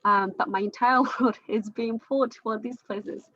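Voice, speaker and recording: A woman's voice: on the high side, fairly even intonation, normal speed, fairly bright tone. Woman from china, english not her first language, lots of restarts and little laughs, muffled zoom audio. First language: Chinese. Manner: hesitant, many restarts and fillers, laughs often. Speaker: panel moderator. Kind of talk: casual video-call discussion. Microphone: video call.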